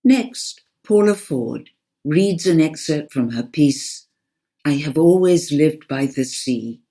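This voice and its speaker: Woman introducing readers, slow and unhurried, mellow low-key tone, scripted intro. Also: expressive.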